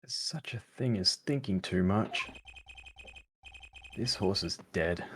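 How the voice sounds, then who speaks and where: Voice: sits low, animated, slow, fairly bright tone, slight background noise, heavily acted. A person voicing an earnest young male defense attorney, dramatic and emphatic, in a live fan dub of a courtroom game. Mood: confident.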